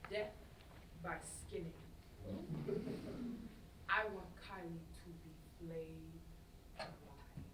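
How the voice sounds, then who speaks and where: A young woman playing a jilted, sarcastic young woman, slangy and emphatic, in a staged play reading. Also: a little high. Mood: cold, vengeful. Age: young adult. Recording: roomy, distant stage mic, faint noise.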